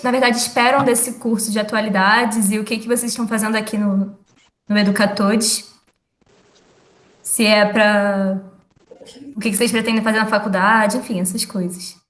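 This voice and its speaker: A young woman teaching an online class in a relaxed way, full of fillers and laughs. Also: a little high, echoey room. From Rio de Janeiro, Brazil. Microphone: video call.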